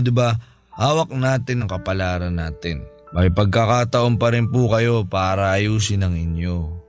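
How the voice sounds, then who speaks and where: A man playing a respectful, concerned young nephew with a Filipino accent, gently questioning in a radio drama. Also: mid-range voice, clean tone, close to an everyday voice. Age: young adult. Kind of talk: Tagalog radio drama acting.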